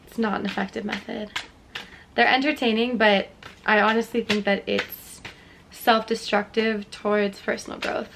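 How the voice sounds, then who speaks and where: A woman's voice: mid-range voice, creaky, background noise. Sniffly young woman talking candidly to camera. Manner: candid, self-questioning, trailing off. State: stuffy nose, sniffly. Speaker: vlogger. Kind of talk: reflective casual vlog talk.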